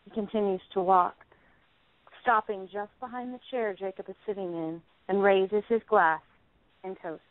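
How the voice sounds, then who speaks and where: A woman's voice: medium pitch, fairly even intonation, normal speed, dry recording. A woman narrating the stage directions of a play in a scripted, dramatic reading. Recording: tinny phone-like band.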